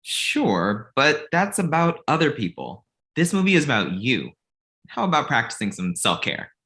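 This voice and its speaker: A man acts out a glib, self-deprecating filmmaker in a comedy read. The voice is mid-pitched and very animated in intonation, with a casual, wry delivery. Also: moderate pace, full character voice. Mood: persuasive. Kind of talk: table read comedy acting.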